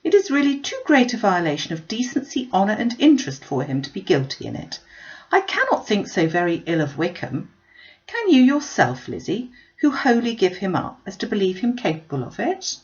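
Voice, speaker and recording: Genteel, animated middle-aged aunt read by a woman. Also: medium pitch, a little fast, dull audio. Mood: persuaded. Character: curious, kindly middle-aged gentlewoman aunt.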